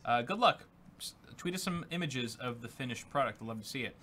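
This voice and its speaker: A man's voice: mid-range voice, expressive, fairly quick, bright, forceful tone. A young man co-hosting a tech show, casually chatting and reading viewer donations aloud with lots of fillers. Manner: upbeat, reads messages aloud with filler words. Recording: faint background noise.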